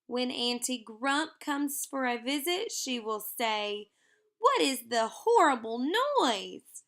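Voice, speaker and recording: Skeptical mother, acted by a woman reader, wry, exasperated, children's storybook, high pitch, unhurried. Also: animated, fairly bright tone, exaggerated voice. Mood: worried. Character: skeptical, worrying mother of a small girl. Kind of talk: children's storybook character reading.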